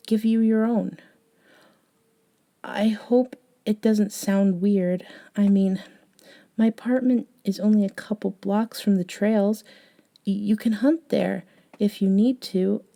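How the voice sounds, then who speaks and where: Woman acting soft, mellow, unhurried eccentric young friend. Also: mid-range voice, steady tone. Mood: tentative, caring. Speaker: audio roleplay performer. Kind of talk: intimate ASMR audio roleplay.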